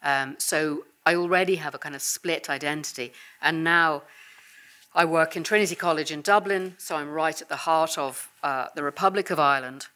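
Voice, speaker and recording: A woman's voice: low voice, moderate pace, bright, forceful tone. A woman with an English accent, a visiting professor, giving a seminar talk and answering questions in a thoughtful, conversational way. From England. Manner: thoughtful, conversational lecturing with frequent "uh" and "you know". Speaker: visiting professor and guest speaker. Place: university seminar room.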